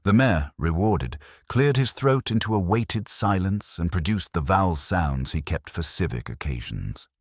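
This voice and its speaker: A man narrating a period comic mystery from a script in a wry, measured, literary manner. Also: sits low, expressive, breathy edge, no room sound, clean studio sound. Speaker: narrator of an audio mystery story.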